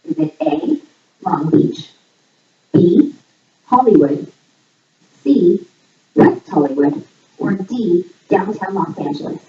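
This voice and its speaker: A woman reading a scripted voice-over like a playful quiz presenter, setting out each line clearly. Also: sing-song, takes it slowly, long pauses. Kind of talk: scripted voice-over narration, trivia quiz for a fundraiser. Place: voice audio played back in a room during filming. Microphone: far camera picking up played-back audio. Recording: echoey, distant, muffled low-quality playback.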